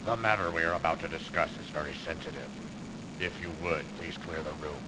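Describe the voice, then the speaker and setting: A man playing a crooked, persuasive industrialist in a video game, with a gravelly voice and insinuating, theatrical delivery. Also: deep voice, brisk, close to an everyday voice. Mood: sly, smooth. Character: crooked, smooth-talking industrialist man, calculating, persuasive schemer. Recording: noisy early CD game audio.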